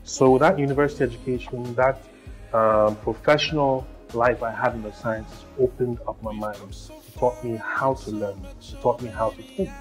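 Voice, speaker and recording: Guy in a relaxed interview, mid-pitched voice, some music playing behind. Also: moderate pace, poor audio. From Ghana. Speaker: musician and actor, interview guest.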